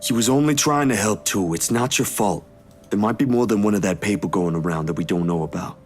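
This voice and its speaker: An actor plays a calm young man in a mystery game. His deep voice is measured and a little hesitant, over faint music. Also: lightly acted. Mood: troubled, reassuring. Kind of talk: visual novel voice acting.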